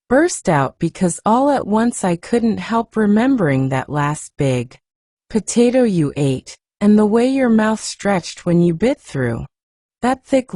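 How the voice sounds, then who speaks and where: A woman narrator acts out a prim, mischievous young girl from a storybook. She is dignified yet confiding, with a swooping pitch and a soft tone. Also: no room sound, clean studio sound.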